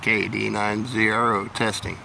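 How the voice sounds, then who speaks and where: A man with a fairly low, gravelly voice makes casual amateur radio calls and remarks. He is outdoors, with plainly audible noise and vehicles behind him. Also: flat delivery, measured pace. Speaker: amateur radio operator and vlogger. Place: outdoors in a local park.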